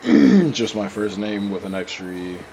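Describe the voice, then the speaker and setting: A man's voice: lowish voice, expressive, measured pace, warm tone, slight background noise. A young man with a cold, congested and stuffy, narrating his own training footage in a loose, rambling voice-over with many fillers and restarts. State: congested, sore throat, clearing throat often.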